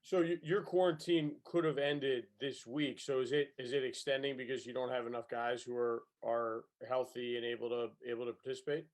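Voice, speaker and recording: A man asks questions as a sports reporter on a press call. His voice is mid-pitched, and he phrases things haltingly, doubling back on his words. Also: fairly even intonation. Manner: halting, repeats himself. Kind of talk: asking questions on a media call.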